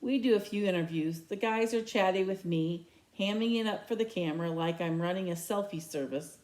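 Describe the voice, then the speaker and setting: A woman's voice: mid-range voice, a little fast, darkish tone, rough recording. Woman reading a novel aloud. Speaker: read-aloud reader.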